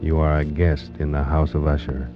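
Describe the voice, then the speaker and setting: A man introducing a radio drama in an ominous, slow, deliberate manner. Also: very deep voice, level tone. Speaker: show announcer. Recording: muffled old radio with music.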